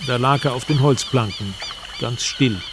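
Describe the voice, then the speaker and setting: An actor plays a male traveller telling of a river journey through the jungle. His voice sits at a middle pitch, in scripted radio drama narration, with clear ambient noise around him. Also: normal speed, lightly acted. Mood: measured, suspenseful. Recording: noisy outdoor ambience behind voice.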